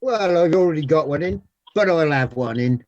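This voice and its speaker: A man's voice: on the high side, animated, normal speed, a little mellow, muffled recording, lightly acted. A man playing a jokey, know-it-all pub regular with a lisp in a radio comedy. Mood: dry, challenging.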